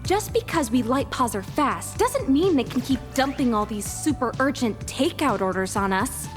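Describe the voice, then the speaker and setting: An actress playing a weary young delivery courier who grumbles sarcastically. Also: female voice, sits high, lively intonation, moderate pace, soft background music. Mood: grumbling. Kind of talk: game voice acting.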